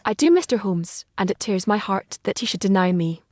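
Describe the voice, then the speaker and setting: A woman's voice: medium pitch, expressive, fairly quick, no room sound, natural voice. Woman acting a sad refined young governess, victorian audiobook drama, earnest n formal, dry sound. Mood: earnest, wounded.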